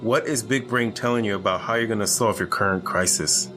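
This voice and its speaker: A man's voice: on the low side, fairly quick, music in the background, lightly acted. A man playing a grudging, irritable secret agent in an audio drama, dry and exasperated with his ex-lover. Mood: wary, practical.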